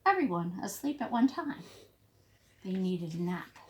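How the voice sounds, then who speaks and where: A woman teacher reading a picture book aloud to young children, emphatic, pausing to explain and prompt. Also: mid-range voice, very animated, a little mellow. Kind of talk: expressive storybook read-aloud for young children.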